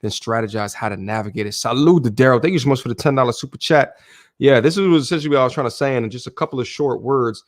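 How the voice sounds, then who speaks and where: A man hosting a livestream discussion, reading out viewer comments and giving calm, reflective remarks. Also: mid-range voice, fast talker, no room sound, clean studio sound. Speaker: host.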